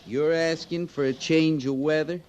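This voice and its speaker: A wry, bossy frontier sergeant played by a man, his American voice pitched a little high. He talks with folksy sarcasm in a radio Western, on an old recording with clear noise. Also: steady tone, moderate pace, darkish tone, lightly acted. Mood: mock-obliging, sardonic. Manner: sardonic, commanding, folksy. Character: gruff, sardonic cavalry sergeant, a man.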